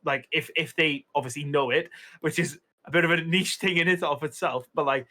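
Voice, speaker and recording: A man's voice: fairly high pitch, a little fast, pushed and bright. A young Welsh man, a streamer, chatting casually on a podcast with lots of fillers and restarts. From Wales. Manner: rambling, many fillers and restarts.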